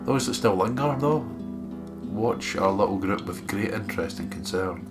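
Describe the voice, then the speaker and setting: A man's voice: lowish voice, lively intonation, normal speed. A Scottish man doing a let's play, reading visual novel narration aloud with some casual commentary. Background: guitar music.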